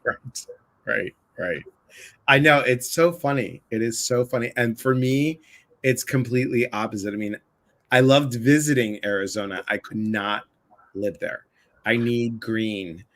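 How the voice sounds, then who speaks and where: A man's voice: medium pitch, a little slow, fairly bright tone. A man from the Chicago area hosting a casual livestream conversation, chatty and full of asides. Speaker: livestream host.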